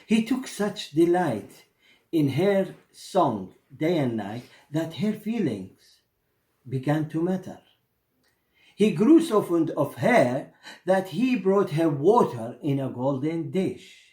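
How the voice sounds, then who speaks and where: A middle-aged man from Iraq, a native Arabic speaker with an Iraqi accent, storytelling and explaining in deliberate, expressive, halting phrases. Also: a little high. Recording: roomy echo.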